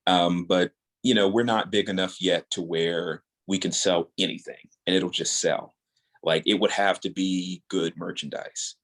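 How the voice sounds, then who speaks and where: A man's voice: low-pitched, steady tone, a little slow. A man talking casually as an interview guest, earnest and rambling, often repeating words as he works out his thought. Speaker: podcast guest, audio drama creator.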